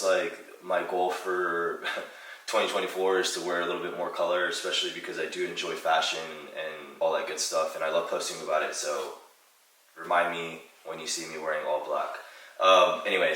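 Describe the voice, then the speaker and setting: Low, flat-voiced congested young man. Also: far from the mic. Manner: relaxed, rambling, many fillers. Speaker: CrossFit athlete. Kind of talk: casual vlog chat. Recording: echoey room, picked up from afar, loud music.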